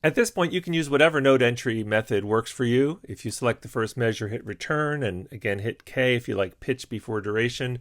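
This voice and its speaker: A male music teacher walking through a software tutorial in calm, step-by-step instructions, with frequent "uh" and "um" fillers. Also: mid-range voice, a little fast, hardly any pauses, no room sound, studio quality. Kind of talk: screen-recorded software tutorial.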